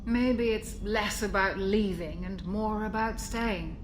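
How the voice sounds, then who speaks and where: A woman playing a seasoned, caring military doctor in a video game, with a mature, measured voice and a wry, reflective way of talking. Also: medium pitch, steady tone, noisy background, close to an everyday voice. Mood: reflective, somber. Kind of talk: video game cutscene acting.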